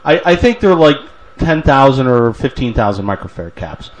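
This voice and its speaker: A man's voice: medium pitch, big pitch swings, moderate pace, muffled recording, a crowd in the background. Casual male talk show host. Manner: repeats and stammers his way into points. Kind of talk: casual call-in chat.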